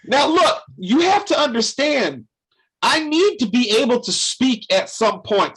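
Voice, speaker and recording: A podcast co-host, excitable and profane while talking about wrestling. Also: mid-range voice, slightly rough, low-quality recording. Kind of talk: podcast chat.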